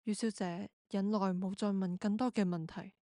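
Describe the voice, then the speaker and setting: A woman's voice: medium pitch, fairly even intonation, normal speed, dark tone, dry recording. Narrator for radio drama: woman, mid-range voice, scripted delivery, very dry room sound.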